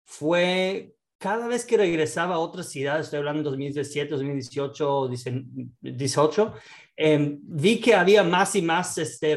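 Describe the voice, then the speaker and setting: Fairly high-voiced man. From born in the United States, raised in Israel. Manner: hesitant, many fillers and restarts, mixes in English words. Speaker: guest entrepreneur and investor. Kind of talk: casual interview talk.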